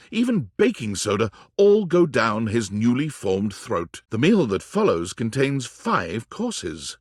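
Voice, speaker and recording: A man narrating a whimsical game as a wry, florid storyteller, with measured, theatrical delivery that relishes every flourish. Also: on the low side, dry recording, clean studio sound. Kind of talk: scripted narration.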